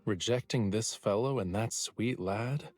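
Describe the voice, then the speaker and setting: Low-voiced, teasing young lover. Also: male voice, measured pace, a little mellow. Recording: music behind the voice.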